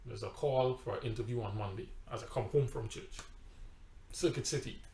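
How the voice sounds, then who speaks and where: A man's voice: medium pitch, fairly quick, warm tone. A pastor with a Trinidadian accent telling a personal testimony in conversation, emphatic and storytelling, sometimes repeating his words. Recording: roomy echo.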